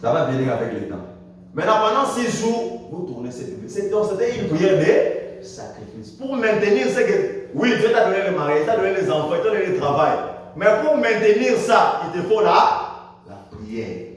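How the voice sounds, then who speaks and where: A male pastor preaches a Sunday sermon, swinging between loud high calls and low quiet asides, with hallelujahs throughout. His voice sits a little high for a man and is caught from a distance in a very echoey church hall, with a faint hiss behind it. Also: very animated, normal speed. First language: French. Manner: preaching with dramatic swings in pitch and loudness, frequent hallelujahs.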